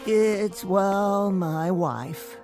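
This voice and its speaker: An American actor playing a meek, henpecked, middle-aged bank teller in a radio drama, hesitant and apologetic in manner. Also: male voice, sits high, drawn-out words, over music, full character voice. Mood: flustered, pleading.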